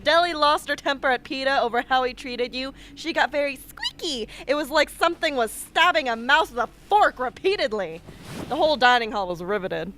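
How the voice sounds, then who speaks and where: A woman's voice: sits high, animated, a little fast, a bit bright, a little hiss or hum. A woman playing a sardonic, blunt young rebel who has survived battle, in an amateur radio drama. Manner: dry, brash, unfiltered.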